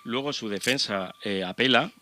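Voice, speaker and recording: A man's voice: medium pitch, normal speed, pushed and bright, a little hiss or hum. A man discussing things casually as a radio panelist.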